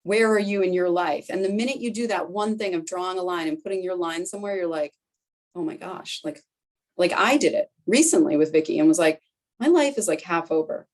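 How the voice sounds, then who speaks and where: A woman's voice: lowish voice, a little fast. Chatty Boston woman presenting to colleagues. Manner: chatty, conversational, fillers like "right?" and "like". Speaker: foundation director presenting to colleagues. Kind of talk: conference presentation.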